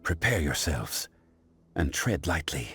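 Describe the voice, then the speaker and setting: A very deep, formal, composed leader. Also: male voice, big pitch swings, slow, bright, forceful tone, faint music behind, heavily acted. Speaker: calm, learned guide leading the group. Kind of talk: game cutscene dialogue.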